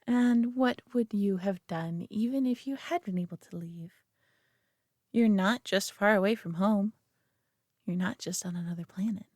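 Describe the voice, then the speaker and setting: A woman's voice: medium pitch, measured pace, a little mellow, no room sound, studio quality, lightly acted. A woman playing a proud, possessive but caring superior alien being in a roleplay audio drama, speaking in a haughty, condescending yet tender manner. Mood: smug, teasing.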